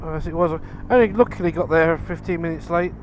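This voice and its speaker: Bloke moaning about traffic from his bike, bit high voice, helmet mic, loud road and engine noise behind. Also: quick pace, lo-fi sound. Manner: grumbling, chatty, sighs and swears at traffic. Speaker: motorcycle vlogger. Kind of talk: casual rambling chat while riding. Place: outdoors on a motorbike in road traffic. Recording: wind and engine noise from riding.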